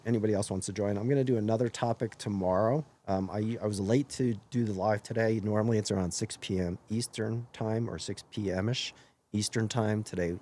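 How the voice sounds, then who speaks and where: A hoarse man hosting his own live stream, talking earnestly in a rambling way and often correcting himself mid-sentence. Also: on the low side, a little mellow, a little hiss or hum. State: hoarse after illness. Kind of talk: casual live-stream monologue.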